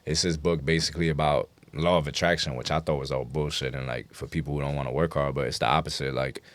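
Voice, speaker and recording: A man's voice: very deep voice, fairly even intonation, fast talker, no room sound. A man chatting casually as an interview guest, explaining things earnestly in street slang. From New York City.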